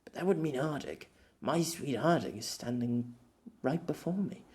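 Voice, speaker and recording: A man performs a distraught middle-aged exile for an audiobook fantasy. He is bitter and hot-tempered, with a very animated pitch that swings widely. He stammers and swears his way through dramatic, desperate outbursts. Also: normal speed, natural voice.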